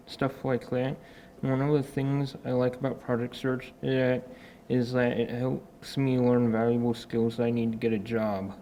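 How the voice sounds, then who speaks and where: A man's voice: medium pitch, warm tone, slight background noise. An eighteen-year-old man introducing himself as a program intern, speaking plainly and restarting mid-word. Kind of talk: prepared self-introduction.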